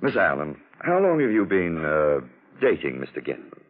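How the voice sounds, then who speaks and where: Casting: a witty gentleman sleuth, low voice with wide swings, elaborate dry wit, vintage radio. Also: huge pitch swings, moderate pace, heavily acted. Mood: wry, teasing. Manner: arch, drily teasing, elaborate phrasing. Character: urbane, witty, sardonic gentleman detective. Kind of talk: 1940s radio drama acting. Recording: old lo-fi radio drama recording.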